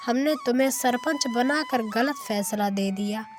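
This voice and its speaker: A woman's voice: fairly high pitch, measured pace, over music, lightly acted. A woman voicing disappointed, accusing villagers in a cartoon moral story.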